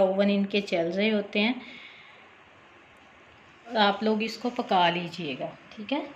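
A chatty woman talks viewers through a recipe in a relaxed voice-over. Her pitch sits in an ordinary middle range. The recording is a little dull, with a faint hiss behind her. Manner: chatty, repeats "theek hai", addresses viewers directly. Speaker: cooking channel host. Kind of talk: casual voice-over cooking tutorial.

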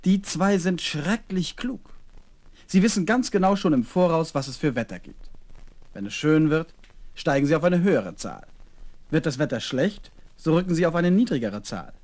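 A man's voice: a little high, expressive, moderate pace, darkish tone. A kindly doctor and father in a seventies children's audio drama. He speaks to his little girl with patience and playful courtesy, in careful acted phrases. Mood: patient, amused. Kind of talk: 1970s children's radio play acting.

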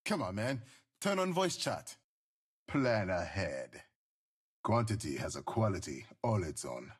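Husky young squad mate, swinging pitch. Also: male voice, big pitch swings, a little slow, heavily acted. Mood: easygoing, confident. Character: young male outdoor survival expert, spirited squad mate. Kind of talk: video game voice lines, short callouts.

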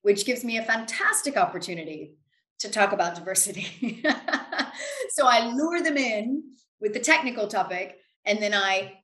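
A woman's voice: medium pitch, lively intonation, normal speed, fairly bright tone. A middle-aged American woman giving emphatic, self-assured, conversational answers as an interview guest on a video call. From United States. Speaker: interview guest, finance expert. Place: indoors in a home room. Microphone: video call, computer at desk distance. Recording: roomy, echoing video call.

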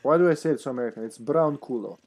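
A man's voice: mid-range voice, darkish tone. Chatty joking man streaming a game. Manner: joking, chatty, quick laughs. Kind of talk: casual co-op gameplay chat.

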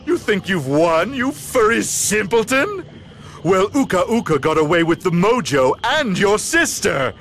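An actor playing a vain, gloating mad scientist villain in a cartoon video game, pompous and theatrical. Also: male voice, high voice, big pitch swings, measured pace, fairly bright tone, soft background music, full character voice.

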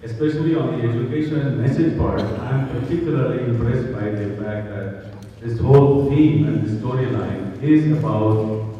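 An older man from the Indian subcontinent gives a formal welcome speech as event host, speaking in deliberate, emphatic phrases with pauses. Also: lowish voice. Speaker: event host introducing a play. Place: theater stage, recorded from far off. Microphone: handheld mic through speakers, far camera. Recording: echoey, muffled, noisy hall from far off.

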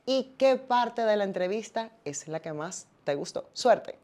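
A woman's voice: mid-range voice, animated, a little slow, warm tone. A woman from Venezuela in her thirties, talking as a talk show host and presenter. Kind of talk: presenter talk, promos and casual interviewing.

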